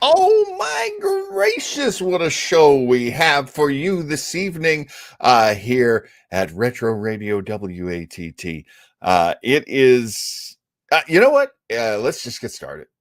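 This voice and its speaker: A man's voice: medium pitch, wildly swooping pitch, slow pace. A man hosting a casual live show, chatting in a jovial, folksy way with exclamations. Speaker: show host.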